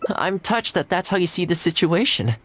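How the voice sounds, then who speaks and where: An actor playing an easygoing, humble young housekeeper in a video game, polite and good-natured. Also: male voice, high-pitched, sing-song, moderate pace, fairly bright tone, full character voice. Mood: friendly, unruffled.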